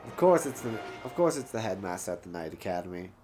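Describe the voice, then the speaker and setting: A man's voice: deep voice, sing-song, fast, music in the background. A man hosting a let's play, giving casual, joking commentary as he plays.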